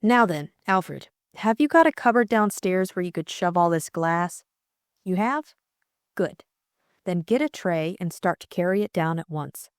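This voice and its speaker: A woman voicing a determined upper-class young lady in an audiobook, brisk, commanding and unflustered. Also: fairly low pitch, lively intonation, dry recording, clean studio sound. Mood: bossy, urgent.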